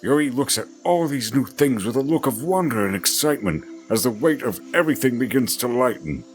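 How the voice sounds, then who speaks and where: Male narrator for fantasy audio drama, theatrical storytelling, very animated range, clear musical backing. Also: mid-range voice, fairly quick, soft background music.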